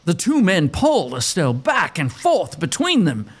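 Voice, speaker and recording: A man's voice: fairly high pitch, huge pitch swings, measured pace. A man narrating a gothic audio drama as a scripted story, in a theatrical, suspenseful manner.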